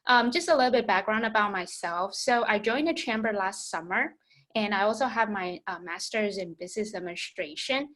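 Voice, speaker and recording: A woman's voice: medium pitch, fairly quick, fairly bright tone. A young woman from Taiwan, English not her first language, presenting programs in an online meeting with frequent fillers. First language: Mandarin Chinese or Taiwanese. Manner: friendly, filler-heavy, explaining programs. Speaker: business program manager. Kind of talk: virtual presentation.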